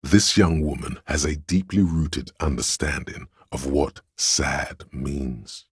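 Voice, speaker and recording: An actor voicing a pompous, art-obsessed inner voice in a video game, narrating in a literary, deliberate and theatrical way with a husky, gravelly tone. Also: male voice, a bass voice, steady tone, no echo, studio quality, heavily acted. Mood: observing. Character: pretentious art-obsessed inner voice, male, musing and cutting. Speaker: narrator of a character's inner skill voice.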